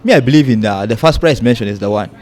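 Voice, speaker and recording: A man's voice: medium pitch, lively intonation, fast talker, a little mellow. A young man from South Sudan, a guest in a candid interview, talking bluntly and emphatically. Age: young adult. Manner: blunt, emphatic, mimics people in quotes.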